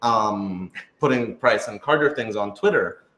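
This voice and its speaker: A man's voice: lowish voice, expressive, a little slow, fairly bright tone. A young man in casual discussion, answering questions in an analytical, dryly matter-of-fact way. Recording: roomy, echoey room.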